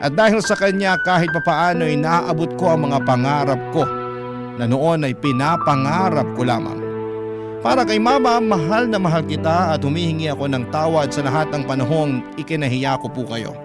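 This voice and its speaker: Man, Filipino accent, higher-pitched voice, emotional first-person story narration, earnest, sentimental, loud instrumental music underneath. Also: moderate pace. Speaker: radio story narrator reading a listener's letter. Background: prominent instrumental music.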